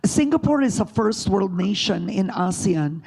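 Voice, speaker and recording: A woman's voice: medium pitch, lively intonation, a little slow, creaky, a little mellow, breathy edge, rough recording. A woman moderating a panel forum before an audience, addressing the room directly.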